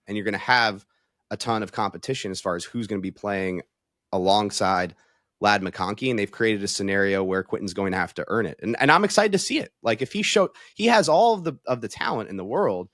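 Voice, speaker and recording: A man's voice: fairly low pitch, lively intonation, brisk, a bit bright, no room sound, studio quality. A man giving his take as a sports reporter in a casual talk show conversation.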